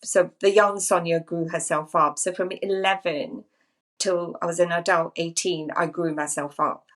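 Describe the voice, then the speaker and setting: A woman in her fifties, from Zambia, tells her life story as a podcast guest. Her voice sits fairly low, and she talks in a musing, reflective way, often circling back over her own phrases. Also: fairly even intonation, normal speed. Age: 50s. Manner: thoughtful, musing, repeats phrases.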